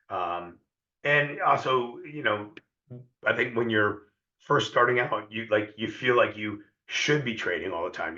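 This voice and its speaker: Hoarse man teaching a trading session. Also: lowish voice, bright, forceful tone, reverberant, muffled recording. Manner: conversational, repeats himself and checks in with viewers. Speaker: trading educator. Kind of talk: live trading lesson.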